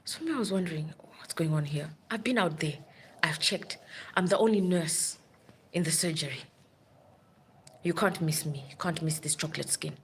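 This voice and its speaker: A woman's voice: sits low, moderate pace, slight background noise. A woman who works as a nurse, telling a long story in a rambling, urgent way on a live stream. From Africa.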